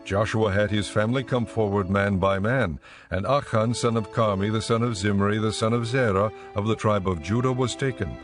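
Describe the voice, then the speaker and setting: A man narrating scripture as a scripted story, in a measured storytelling manner. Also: lowish voice, faint music behind.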